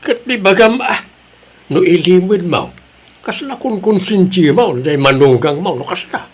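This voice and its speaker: A man's voice: high-pitched, lively intonation, a little slow, husky, full character voice. A man playing a stern, indignant old grandfather in a family audio drama.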